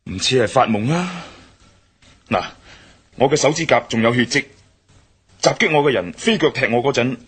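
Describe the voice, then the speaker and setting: An actor playing a bold, curious young adventurer in a radio drama, speaking with a Cantonese accent and often thinking aloud. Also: male voice, medium pitch, lively intonation, normal speed, long pauses, a bit bright, husky. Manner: muses aloud in inner monologue. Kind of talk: 1960s-style radio drama acting.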